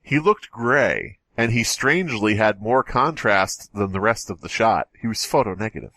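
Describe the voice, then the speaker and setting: A man's voice: fairly low pitch, animated, moderate pace, a bit bright, no echo. A man hosting a podcast, reading a horror story aloud and breaking off with joking asides. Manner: jokey, breaks off to comment. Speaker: podcast host.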